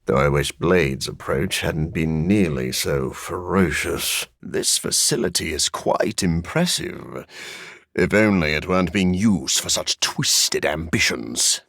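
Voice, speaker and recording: A man voicing a brooding living vampire scientist in a video game, gravelly and husky, formal and measured in wording, with dry deadpan wit. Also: deep voice, dry recording, exaggerated voice. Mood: earnest, measured. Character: brooding living vampire scientist, dour yet dryly witty. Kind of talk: video game character voice lines.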